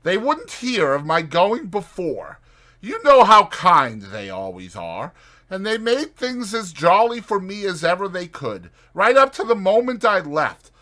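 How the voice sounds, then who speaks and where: A man's voice: sits high, sing-song, unhurried, sharp tone, voice pushed up, exaggerated voice. A man voicing an earnest, worried male water rat in a dramatized storybook reading, speaking in a grave, emphatic way. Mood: anxious.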